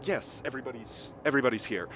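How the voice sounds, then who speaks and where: A man's voice: medium pitch, quick pace, a bit bright, a little hiss or hum, close to an everyday voice. A man playing a nervous, suspicious camp member who halts and repeats words in a radio drama.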